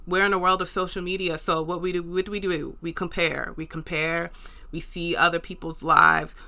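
Low-voiced, bright New York woman. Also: steady tone, normal speed. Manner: earnest, encouraging, repeats for emphasis. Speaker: podcast host. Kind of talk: motivational podcast monologue.

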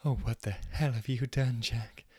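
A man voicing a soft-spoken, self-assured woman detective who talks quietly and knowingly. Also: mid-range voice, unhurried, breathy edge, no room sound. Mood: hushed. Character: hushed, self-assured female amateur detective.

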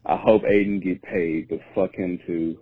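A hoarse man who has lost his voice leaves a gloating, profane voicemail rant, cutting it like a wrestling promo. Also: deep voice, steady tone, moderate pace, no echo. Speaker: voicemail caller. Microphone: phone. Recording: tinny phone voicemail.

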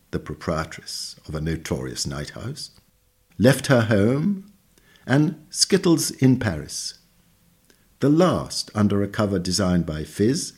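A man reading a literary essay aloud as scripted narration, in a measured, articulate, bookish manner. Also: lowish voice, darkish tone, breathy edge. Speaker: reader of a magazine essay.

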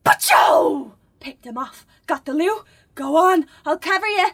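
A performer playing an excitable young boy in an audio drama, acting out cops and robbers with mouthed gunshots. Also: very high-pitched, a little slow, no room sound, studio quality, full character voice. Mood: excited play.

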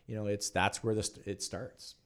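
A man with a notably low, deep voice chats casually as a guest on a podcast. He explains insurance in an easygoing way, dropping in frequent "yeah"s and "you know"s. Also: fairly even intonation, normal speed.

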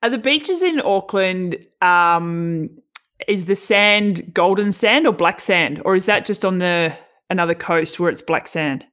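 A woman's voice: lowish voice, animated, measured pace, a bit bright. A woman who commentates races, interviewing a guest in a chatty way with long, wandering questions. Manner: chatty, rambling questions with restarts. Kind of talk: casual interview.

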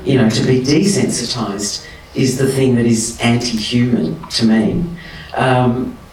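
A man speaking as an interview guest, impassioned and emphatic, his pitch jumping up when he gets animated. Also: a little slow. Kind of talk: conversational interview. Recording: roomy and distant with faint noise.

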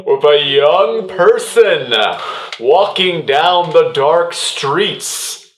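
A man's voice: a little high, extremely slow, a bit bright. A man narrating an improvised horror radio play in a theatrical storytelling manner, recorded in an echoey room. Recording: echoey room, faint background noise.

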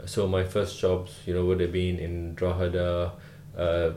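A man from Sri Lanka, a rural GP, answering podcast interview questions in an unhurried way with many "uh" fillers. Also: low-pitched, monotone, mellow, roomy sound, slight background noise. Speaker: guest, rural GP.

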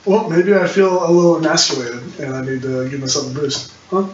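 A man's voice: a little high, fairly even intonation, a little fast. A man chatting casually as a player in a tabletop role-playing game. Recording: roomy, muffled distant mic with clicks, hiss.

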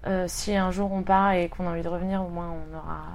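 Woman in her 30s from brittany, chatty interview guest, lots of "euh" pauses. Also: lowish voice, little pitch movement, slight background noise. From Brittany, France. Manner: hesitant, many pauses and fillers. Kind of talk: casual conversational interview.

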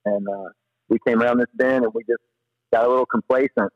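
A man telling stories casually as a podcast guest, in an animated, slangy, rambling way. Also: mid-range voice, a little fast, no echo, lo-fi sound. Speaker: podcast guest, paddler and former river guide. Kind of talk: casual storytelling in an interview.

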